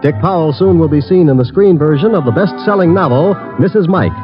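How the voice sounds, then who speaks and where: A man's voice: a little high, fairly quick, highs cut off. A man announcing in a scripted 1940s radio style on an old broadcast recording. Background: clear music.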